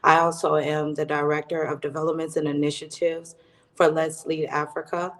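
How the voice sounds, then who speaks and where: A woman's voice: low-pitched, flat delivery, normal speed, a bit bright. A woman raised in the United States introducing herself on a business panel, listing her roles in an upbeat way. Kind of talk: panel discussion.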